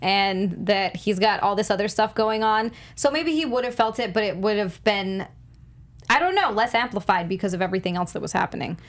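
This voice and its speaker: A woman's voice: medium pitch, a little fast. A woman speaking as a panelist in a casual, conversational chat. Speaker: panelist on a movie news show.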